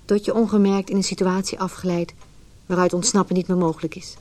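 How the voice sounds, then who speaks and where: An actress playing a philosophical narrator, giving solemn, deliberate scripted narration for a radio play. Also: female voice, fairly low pitch, little pitch movement, darkish tone, lightly acted. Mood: pensive, ominous. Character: philosophical, ominous female narrator musing on fate.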